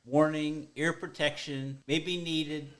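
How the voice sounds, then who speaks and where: A man's voice: on the high side, flat delivery, unhurried. A man in a casual podcast chat, telling stories and cracking dry jokes.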